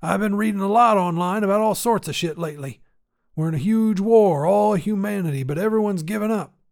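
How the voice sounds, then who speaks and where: A grumbling, folksy old grandpa acted out by a male narrator for a short story. His voice sits high and he speaks with emphatic, cranky conviction, like a tinkerer who thinks everything is falling apart. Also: a little fast, vocal fry, a little mellow. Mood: indignant. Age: older. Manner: folksy, grumbling, emphatic, rural turns of phrase. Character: cantankerous old grandfather tinkerer, obsessed with decay and repair. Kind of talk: acted character dialogue in short fiction reading.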